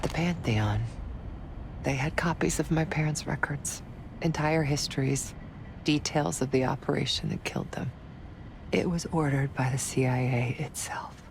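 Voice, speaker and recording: A woman's voice: a bass voice, normal speed, vocal fry. An actress playing a guarded, bitter woman spy in a video game, speaking with confessional intensity. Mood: bitter, solemn. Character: guarded woman spy under interrogation, bitter, defiant, haunted. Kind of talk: dramatic video game acting. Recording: heavy background noise and ambience.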